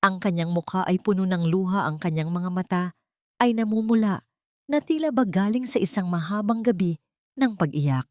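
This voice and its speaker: A woman's voice: mid-range voice, animated, moderate pace, darkish tone, no echo, studio quality. A woman with a Filipino accent reading scripted, dramatic first-person narration as a storyteller.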